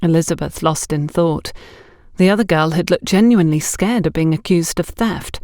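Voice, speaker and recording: Low-voiced woman narrating a story. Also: normal speed, dark tone, no room sound, studio quality. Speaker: audiobook narrator.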